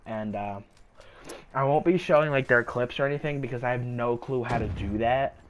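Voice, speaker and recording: A man's voice: mid-range voice, moderate pace. A young man with a sore, scratchy throat, rambling casually with lots of fillers while he plays a game. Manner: rambling, hesitant, filler-heavy. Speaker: gaming YouTuber. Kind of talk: casual gameplay commentary.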